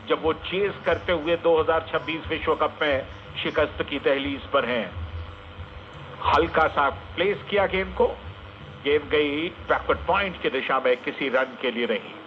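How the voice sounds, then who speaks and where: High-pitched man commentating cricket over crackly radio. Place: live sports commentary picked up on radio. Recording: noisy narrowband AM radio broadcast.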